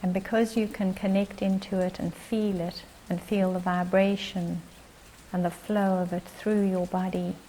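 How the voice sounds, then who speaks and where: A woman leading a guided meditation as its guide, speaking in gentle, short phrases with long pauses. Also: lowish voice, flat delivery, slight background noise, poor audio.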